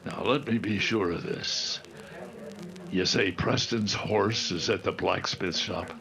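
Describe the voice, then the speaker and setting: Man playing a gravelly, slow old outlaw boss. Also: sits low, a crowd in the background, exaggerated voice. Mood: calculating. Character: sly old male outlaw boss, cold and scheming. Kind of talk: old-time radio drama acting.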